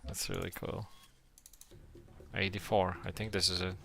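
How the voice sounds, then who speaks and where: Gravelly-voiced Serbian man streaming a game. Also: deep voice, level tone, a little slow, a bit bright. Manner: chatty, reads and thanks viewers, laughs often. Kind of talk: casual live-stream chat while gaming.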